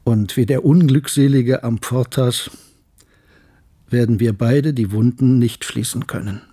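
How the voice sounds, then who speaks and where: An actor playing a reflective, betrayed older man in a radio drama, telling his story in a measured, literary way. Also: mid-range voice, dark tone, slightly rough. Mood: bitter, reflective. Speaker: narrator and lead character. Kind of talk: German radio drama monologue and dialogue.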